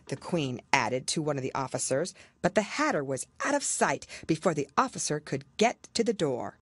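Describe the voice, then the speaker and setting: A woman's voice: sits low, husky, no echo, studio quality. A woman narrating a children's storybook from a script as an audiobook reader.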